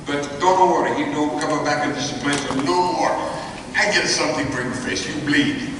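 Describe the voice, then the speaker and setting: Brief: man playing a fretful barkeep, broken English, pleading, higher voice, stage radio play in an echoey hall. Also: normal speed, lightly acted. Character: kindly male bar owner, fretful. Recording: echoey hall, distant mic, noisy.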